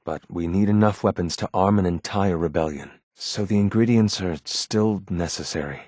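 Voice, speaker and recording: Deep, husky android rebel leader. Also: male voice, a little mellow, no room sound, clean studio sound. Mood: urgent, secretive. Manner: formal, measured, grave. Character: guarded, earnest male android rebel leader. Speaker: video game voice actor. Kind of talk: scripted game character dialogue.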